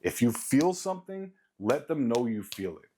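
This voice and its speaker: A man in his late thirties with a deep voice talks straight to his audience, venting in a blunt, swear-heavy way. He hammers home his points by repeating them, his pitch sitting in the middle range for a man. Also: very animated, normal speed, warm tone, slight background noise. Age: late 30s. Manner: blunt, profane, emphatic repetition. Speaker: vlogger. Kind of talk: casual motivational rant to camera.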